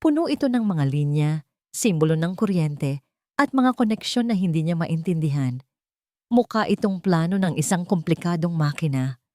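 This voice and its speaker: Low-pitched Filipino woman narrating a story. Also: expressive, moderate pace, mellow, dry recording, clean studio sound. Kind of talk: scripted dramatic story narration.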